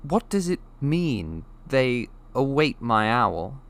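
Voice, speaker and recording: A man voicing a bewildered young boy in a storybook reading, earnest and incredulous, with halting questions. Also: medium pitch, very animated, a bit bright, no echo, voice pushed up, full character voice. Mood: puzzled. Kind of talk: storybook character voice in an audiobook reading.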